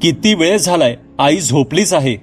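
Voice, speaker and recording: A man's voice: on the high side, expressive, measured pace, faint music behind, pitched above the performer's own voice. A man voicing a concerned young boy with a worried, questioning manner in a told horror story. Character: concerned young boy asking after his mother.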